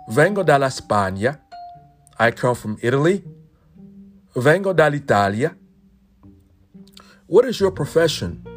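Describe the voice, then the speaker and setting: A man hosting a podcast lesson, slowly saying everyday phrases and repeating each one twice. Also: mid-range voice. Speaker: podcast host teaching Italian phrases. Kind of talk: solo language lesson podcast.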